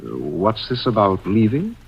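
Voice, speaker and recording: A man's voice: lowish voice, huge pitch swings, measured pace, creaky, breathy edge, heavily acted. A man playing a polite, inquisitive house guest in an old-time radio suspense drama. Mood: puzzled. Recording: dull vintage radio recording.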